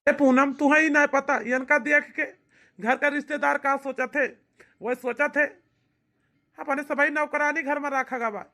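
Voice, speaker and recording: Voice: sits high, level tone, a little slow, sharp tone, exaggerated voice. A performer voicing a disgruntled, complaining husband in an amateur cartoon, with an Awadhi accent and a grumbling, aggrieved manner. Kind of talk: amateur cartoon comedy-drama acting.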